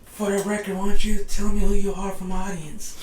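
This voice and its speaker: A man hosting a casual interview, asking relaxed, slangy questions. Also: high-pitched, a little fast. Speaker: interview host. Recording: echoey room with faint background noise.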